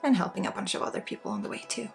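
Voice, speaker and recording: A woman with a Swedish accent giving unscripted spiritual guidance in an ASMR healing session, earnest and encouraging, with halting phrasing. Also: medium pitch, vocal fry, soft background music. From Sweden. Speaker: spiritual guide and energy healer.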